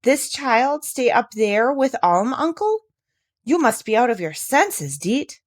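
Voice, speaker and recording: A woman's voice: on the high side, very animated, slow, dry recording, clean studio sound, voice pushed up, heavily acted. A woman voicing a talkative, inquisitive village woman from a storybook, speaking in an emphatic, gossipy way. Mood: alarmed, scolding. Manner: exclamatory, emphatic, gossipy questioning. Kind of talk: storybook character reading.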